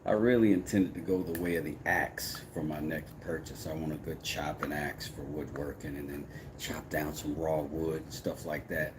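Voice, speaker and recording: A man with a deep, low voice talks casually on a live stream, mixing brash trash talk with loose rambling. His audio carries a clear layer of background noise from his home workshop. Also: normal speed, hardly any pauses. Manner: brash, trash-talking, then rambling and relaxed. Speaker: woodworking streamer.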